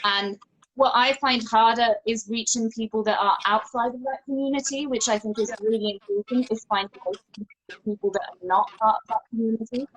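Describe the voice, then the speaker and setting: A woman's voice: on the high side, fairly quick, fairly bright tone, husky, low-quality recording. Young woman chatting in an interview. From Brittany, France. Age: young adult. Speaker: podcast guest, marine researcher. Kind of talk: casual conversational interview.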